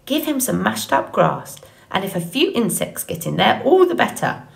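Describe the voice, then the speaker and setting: A woman voicing an old female goose in an audiobook story, squawking, bossy and brisk in her manner. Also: medium pitch, very animated, vocal fry, low-quality recording. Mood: brisk advice. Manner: indignant, bossy, matter-of-fact.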